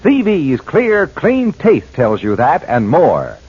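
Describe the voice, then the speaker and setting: A male radio announcer giving an enthusiastic sales pitch for a product. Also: huge pitch swings, takes it slowly, husky. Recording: dull old radio broadcast.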